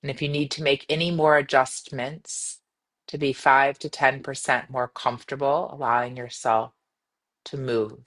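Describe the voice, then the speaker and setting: A woman leading a guided meditation in a gentle, soothing manner, speaking in measured phrases with long pauses. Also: rumbling low, steady tone. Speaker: yoga nidra meditation guide.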